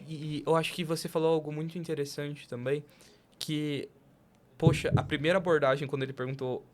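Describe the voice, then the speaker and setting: A Brazilian man with a fairly high voice talks as a podcast host. His delivery is casual and motivational, built on emphatic rhetorical questions. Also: flat delivery, fairly quick. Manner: emphatic, rhetorical, poses questions and acts out quotes.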